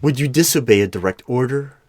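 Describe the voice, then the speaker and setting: A man's voice: mid-range voice, animated, a little fast, darkish tone. A man playing a hiring manager in a one-sided interview roleplay, asking probing, formal questions. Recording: noisy room with keyboard clicks. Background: computer keyboard typing.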